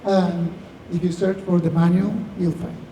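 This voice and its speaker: Male founder, 50s, Argentinian accent, reflective Q&A delivery, very dark soft timbre, roomy hall. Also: high voice, little pitch movement, normal speed. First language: Spanish. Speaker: tech founder, guest speaker. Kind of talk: live Q&A, anecdotal talk. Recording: distant echoey hall, faint noise.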